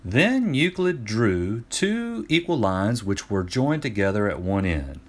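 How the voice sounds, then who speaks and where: A man reading a scripted educational storybook aloud to students as a teacher, in an expressive, deliberate way. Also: fairly low pitch.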